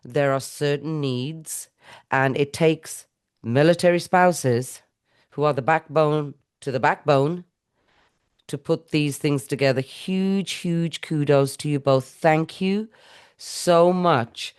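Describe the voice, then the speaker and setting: Effusive middle-aged woman host, smooth voice. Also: deep voice, slow, a little mellow. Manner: effusive, rambling, with self-corrections. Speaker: podcast host. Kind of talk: casual interview chat.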